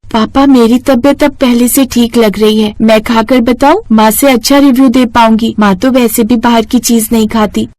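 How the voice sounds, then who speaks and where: Woman playing an eager high-voiced little girl. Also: steady tone, normal speed, darkish tone, close to an everyday voice. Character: sweet, eager young daughter who pleads with papa.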